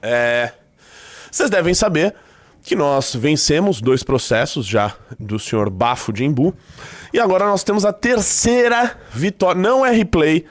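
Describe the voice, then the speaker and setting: A Brazilian man with a stuffy nose hosting a live show in loose, joking commentary, peppered with "tá?". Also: on the high side. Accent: Brazilian Portuguese. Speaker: livestream host.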